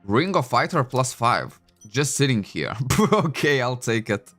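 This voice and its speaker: A man's voice: medium pitch, sing-song, unhurried, fairly bright tone, soft background music. A man whose first language is Lithuanian, chatting casually at home into a desk microphone while playing a game, thinking aloud with many fillers. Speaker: game streamer. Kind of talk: casual livestream commentary.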